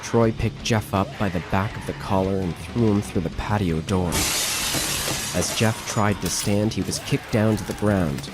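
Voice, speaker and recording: A man's voice: on the low side, a little slow, loud music behind the voice. A man narrating a scripted horror story.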